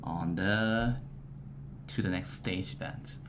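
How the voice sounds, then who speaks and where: Stream host, man, low voice, halting with many fillers, keyboard typing and noise behind. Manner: filler-heavy, halting, chuckling. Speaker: host of a game livestream. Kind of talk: casual livestream presentation. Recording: steady background noise with typing.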